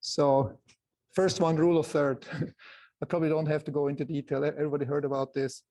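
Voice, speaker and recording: Male photography presenter, Swiss accent, soft but slightly high voice with a gravelly creak, halting lecture delivery. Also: level tone. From Switzerland. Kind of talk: informal slide lecture to a live group.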